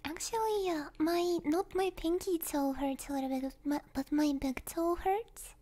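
Very high, soft girlish woman whispering. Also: moderate pace. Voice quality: soft, breathy, girlish, often slipping into whisper. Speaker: ASMR livestream host. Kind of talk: soft ASMR chat with viewers, often whispered. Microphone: binaural ear microphone.

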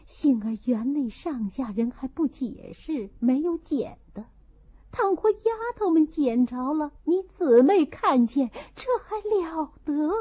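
A woman's voice: sits high, a little mellow, muffled recording, full character voice. A woman voicing a stern middle-aged noblewoman in a storybook, tearful and full of sighing reproach.

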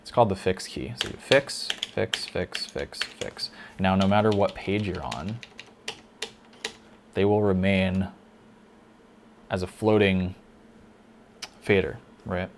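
A man teaching a lighting console in a casual tutorial, thinking aloud with fillers, a little hoarse. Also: low-pitched, takes it slowly, pauses often, slight background noise. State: slightly hoarse, losing his voice. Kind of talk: casual screen-recorded tutorial.

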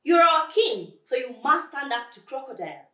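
A woman's voice: fairly high pitch, big pitch swings, fairly quick, pushed and bright, reverberant, recorded from a distance, voice pushed up. A woman voicing a formal, imploring tortoise in a storybook reading. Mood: insistent. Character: respectful, insistent tortoise addressing the king.

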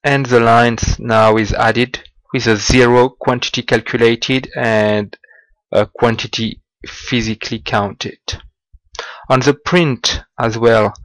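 A man giving a slow, halting walkthrough of business software over a screen recording, with small grammar slips. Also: medium pitch, steady tone, dry recording, low-quality recording. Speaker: software demonstrator.

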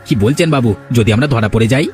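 Fast-talking scheming village henchman acted by a man. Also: medium pitch, darkish tone, close to an everyday voice. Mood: conspiring. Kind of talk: Bengali fairy-tale cartoon dubbing. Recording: music behind the voice.

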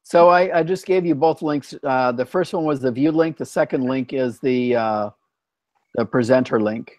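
A patient man in his fifties chats over a video call through an earphone headset. His voice sits in a middle register and sounds close and dry, with almost no room around it. He speaks plainly and keeps the talk going at an easy, unhurried pace. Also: mid-range voice, a little mellow, no room sound. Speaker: host of a conversation practice call. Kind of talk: casual video call chat. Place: home room, indoors.

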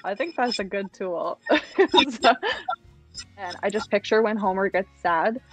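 A woman's voice: mid-range voice, a little slow, poor audio. A young woman from Canada runs a silly game show over a video call. She talks in an upbeat, easy way and laughs often, with faint music underneath. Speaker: host. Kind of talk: playful video-call interview. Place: room at home.

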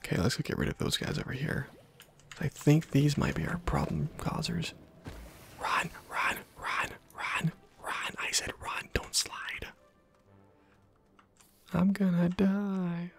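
A man softly whispering casual, rambling ASMR commentary over a video game, with a breathy, husky voice. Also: fairly high pitch, slow pace, long pauses, faint music behind. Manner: soft-spoken, rambling to himself. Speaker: ASMR gaming host.

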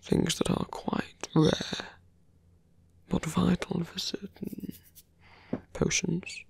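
Man acting a gravelly, whispering suave villain. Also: sits high, steady tone, no echo, heavily acted. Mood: quiet, measured. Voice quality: breathy, often whispered, gravelly. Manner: slow, deliberate, teasing. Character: suave, condescending male fantasy villain and thief. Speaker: voice actor in roleplay audio. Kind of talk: ASMR villain roleplay, half-whispered monologue. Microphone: close, dry microphone.

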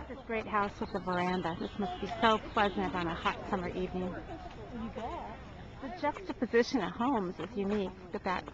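A woman narrating a casual walking tour of houses, admiring and chatty, with frequent ums and uhs. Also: medium pitch. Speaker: real estate agent giving a home tour. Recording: dull lo-fi audio with clear background noise.

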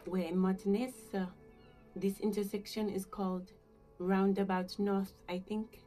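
Actress doing a soft-toned hesitant gardener lady from a video game, mellow, music behind her. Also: mid-range voice, little pitch movement, measured pace, close to an everyday voice. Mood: reserved, hesitant. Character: reserved working-class female gardener, uneasy, politely hesitant. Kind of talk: video game dialogue acting.

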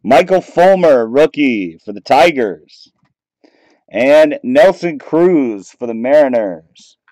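A man in casual commentary, reading out cards as he opens packs at a table, relaxed and chatty, picked up by a camera mic. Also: mid-range voice, slow, dry recording, muffled recording. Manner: relaxed, chatty, reading out names and teams as he goes. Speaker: card break host. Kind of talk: casual commentary while opening trading card packs. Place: small room at a table, off camera.

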